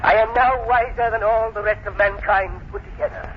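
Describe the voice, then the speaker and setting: A mad old professor played by a man with a high voice, speaking with pompous menace and cold condescension. He sounds like a grandiose radio drama villain bent on revenge, on a muffled old recording with faint noise. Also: fairly quick, natural voice. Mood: boastful. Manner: pompous, coldly calm, condescending. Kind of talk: 1940s radio drama acting.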